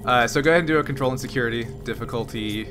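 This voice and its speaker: A man narrating as game master of a tabletop role-playing game, halting and restarting often as he describes the action. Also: medium pitch, over music. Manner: halting, filler-heavy narration with frequent restarts.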